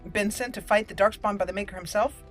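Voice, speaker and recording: A woman's voice: mid-range voice, steady tone, quick pace, soft background music. A woman hosting a roleplay let's play, voicing dialogue choices and narrating the game.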